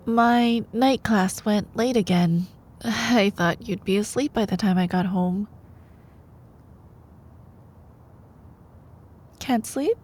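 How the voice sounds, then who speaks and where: A young woman playing a sweet, teasing girlfriend in an intimate ASMR roleplay, soft and playful, sometimes dropping toward a breathy whisper. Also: on the high side. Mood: tender, concerned. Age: young adult. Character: sweet, teasing young girlfriend, a sleepy-hours college student. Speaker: ASMR voice performer.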